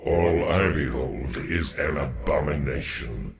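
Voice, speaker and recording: A man voicing a grave, indignant alien warrior elder in a game, gravelly, solemn and accusing. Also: very deep voice, takes it slowly, over a noisy crowd, full character voice. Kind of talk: dramatic game dialogue. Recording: echoey, with music behind.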